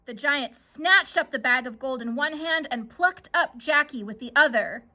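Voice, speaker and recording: A woman narrating a scripted children's story in a dramatic, expressive way. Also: sits high, normal speed.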